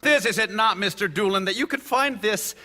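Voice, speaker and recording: A man's voice: high voice, fairly quick, pushed and bright. A man acting a combative, pressing trial lawyer in a theatrical live-recorded radio drama. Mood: combative, insistent. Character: combative male lawyer cross-examining a witness.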